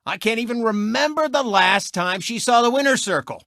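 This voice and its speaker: An actor voicing a cocky, gloating race car turned sportscaster in a video game, with a boastful, mocking announcer delivery. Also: male voice, very high voice, animated, moderate pace, creaky, pushed and bright, no echo, clean studio sound, heavily acted.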